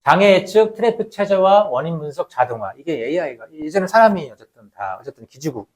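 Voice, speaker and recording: Man, lecturer, somewhat high-pitched, very animated intonation, conversational explaining, emphatic, rhetorical questions, quieter phrase endings. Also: fast, crowd noise. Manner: conversational, poses rhetorical questions then answers them. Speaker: lecturer, labour researcher.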